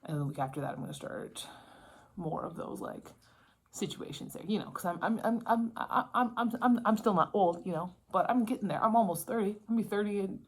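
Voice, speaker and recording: A woman's voice: medium pitch, brisk, slightly rough. A woman in her late twenties chatting casually in a rambling, self-interrupting way, as in a personal vlog. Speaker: book and writing vlogger. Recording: noticeable background noise.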